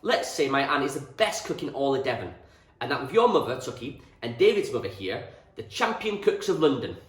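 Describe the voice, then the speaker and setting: A man's voice: mid-range voice, big pitch swings, a little fast, pitched above the performer's own voice, close to an everyday voice. A man voicing a jovial, opinionated country farmer in a storybook, speaking emphatically and repeating himself for effect. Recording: roomy echo, distant from the mic.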